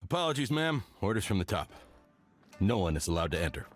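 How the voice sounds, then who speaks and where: A man's voice: on the low side, sing-song, fast, faint music behind. A man voicing a dutiful soldier on guard in a video game, formal and terse. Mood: firm. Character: dutiful male soldier guard, firm and apologetic. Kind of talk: video game character acting.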